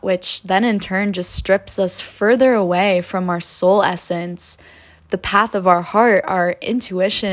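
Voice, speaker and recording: A woman in her twenties hosting a podcast, telling her life story in a soft, reflective and earnest way, with spiritual wording. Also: mid-range voice. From United States. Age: 20s. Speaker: podcast host. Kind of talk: personal storytelling monologue.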